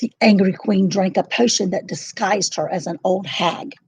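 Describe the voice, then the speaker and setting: A woman narrating a children's storybook in an expressive, dramatic and deliberate style. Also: medium pitch, slightly rough, no echo.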